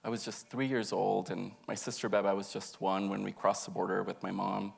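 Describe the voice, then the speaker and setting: Gravelly low-voiced young man telling his story. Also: level tone, a little fast, soft background music. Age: young adult. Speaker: monologist. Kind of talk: autobiographical monologue read aloud on stage.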